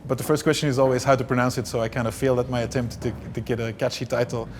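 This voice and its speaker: A man's voice: medium pitch, little pitch movement, racing, a little hiss or hum. A man from Belgium whose first language is Dutch, answering interview questions in a hesitant way with many restarts. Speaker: film director.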